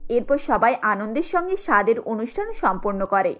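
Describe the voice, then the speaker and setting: High-pitched woman narrating a cartoon story. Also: sing-song, moderate pace, fairly bright tone, soft background music.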